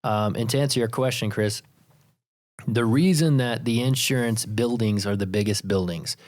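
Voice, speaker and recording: A man's voice: mid-range voice, normal speed, a little mellow, no room sound, clean studio sound. A man co-hosting a podcast in casual chat, explaining ideas step by step and teasing his co-host. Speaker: podcast co-host, insurance agency leader.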